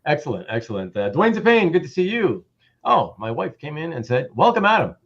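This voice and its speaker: A man hosting a casual livestream chat, speaking haltingly and often repeating words and phrases. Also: fairly high pitch, sing-song. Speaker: host of a live show. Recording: dull, muffled lo-fi audio.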